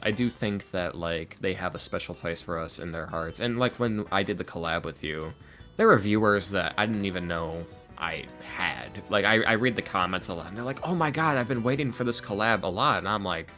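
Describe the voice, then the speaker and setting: A man chatting casually as a podcast guest, animated and halting, often repeating himself as he goes. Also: deep voice. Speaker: podcast guest, ASMR roleplay creator and voice actor. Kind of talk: casual interview chat. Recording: music playing behind the voice. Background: background music.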